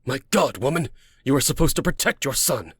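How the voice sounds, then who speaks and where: A man's voice: medium pitch, expressive, fairly quick, a bit bright, slightly rough, no echo, clean studio sound, voice pushed up, exaggerated voice. A man voicing an angry, protective, brooding man who scolds with heated intensity, as in a dramatic audiobook. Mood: angry, accusing.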